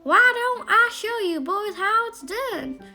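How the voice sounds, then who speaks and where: A woman's voice: very high voice, lively intonation, takes it slowly, bright, forceful tone, soft background music, pitched above the performer's own voice, full character voice. A woman voicing a bold, cocky cowboy in a children's story, boastful and hollering. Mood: confident. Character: bold, cocky, whooping young cowboy man.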